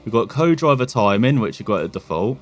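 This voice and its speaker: An English man hosting a gaming video, talking through menus in a relaxed, chatty way. He wanders into self-deprecating asides and keeps a mid-range voice. Faint music plays under him. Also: medium pitch, fairly quick. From England. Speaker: gaming channel host. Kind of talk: casual gameplay commentary.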